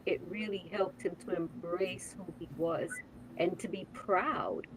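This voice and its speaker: A woman's voice: lowish voice, a little slow, a loud crowd, rough recording. A woman hosting a podcast in casual conversation, speaking earnestly and stressing her points, often repeating words as she builds up to them. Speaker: podcast host.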